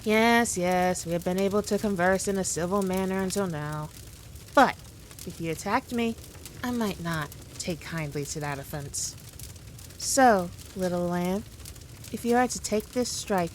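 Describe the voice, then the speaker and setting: A woman playing a powerful demon queen in an ASMR roleplay, condescending, teasing and theatrical, with deliberate, drawn-out phrasing. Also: fairly low pitch, slight background noise, natural voice. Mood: quietly menacing. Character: powerful demon queen, haughty, teasing, dominant, toying with her foe. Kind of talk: scripted ASMR roleplay addressed to the listener.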